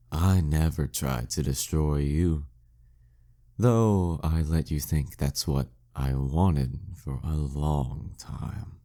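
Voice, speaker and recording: A man's voice: rumbling low, dark tone. A man playing a flirty, dominant demon king villain in an intimate audio roleplay, teasing and smug in manner. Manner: teasing, smug, slow deliberate delivery.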